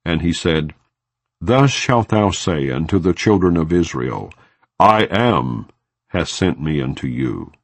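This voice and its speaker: A man with a low, deep voice reads scripture as an audiobook narrator. His delivery is solemn and measured, with wide rises and falls in pitch. The sound is dry, with no room echo. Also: very animated. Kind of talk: non-dramatized scripture reading, scripted narration.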